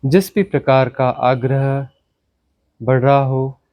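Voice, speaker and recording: A man teaching guided meditation, giving instructions in short commanding phrases with pauses and mixing in English words. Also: mid-range voice, steady tone, lo-fi sound. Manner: mixes English words into Hindi.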